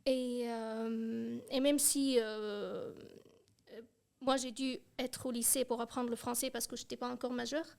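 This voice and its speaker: High-voiced young woman from Syria. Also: fairly even intonation, unhurried, creaky, a little mellow. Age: young adult. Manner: hesitant, many fillers and restarts. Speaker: podcast guest. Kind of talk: casual chat.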